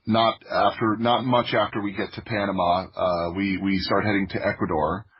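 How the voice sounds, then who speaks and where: A man from Florida telling stories from his own life in a casual, halting way, thinking aloud as he goes. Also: fairly low pitch, steady tone, slight background noise. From Florida, United States. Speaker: podcast guest, audio drama creator. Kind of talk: casual autobiographical storytelling. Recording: dull, lo-fi audio.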